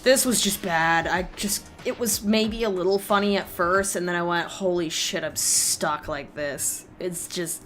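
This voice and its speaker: A woman's voice: on the low side, unhurried, hardly any pauses, fairly bright tone. A woman hosting a game stream in casual, rambling chat, weary and self-deprecating. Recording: music behind the voice. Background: music.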